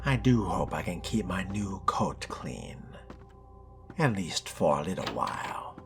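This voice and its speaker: A man voicing a brooding, genteel vampire in video game roleplay, breathy and near-whispered, with a measured, ominously polite, old-fashioned manner. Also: low voice, big pitch swings, over music, heavily acted. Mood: grim, wry. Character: brooding, genteel, world-weary male vampire fledgling.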